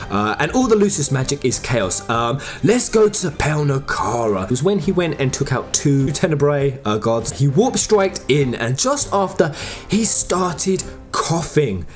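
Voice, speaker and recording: A man giving informal fan theory commentary as a channel host, speaking emphatically and directly to his viewers. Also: fairly high pitch. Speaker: host of a video game lore channel.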